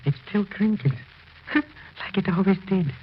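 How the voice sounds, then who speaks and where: Slow-speaking haunted, grieving widower. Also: male voice, sits high. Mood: desperate longing. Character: grieving, obsessed widower haunted by his dead wife. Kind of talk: 1940s radio horror acting. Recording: dull, hissy 1940s radio broadcast recording.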